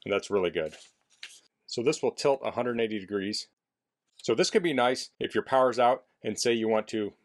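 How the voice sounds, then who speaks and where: A man casually demonstrating a product indoors in a basement, explaining things in a plainspoken way as a reviewer. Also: fairly low pitch.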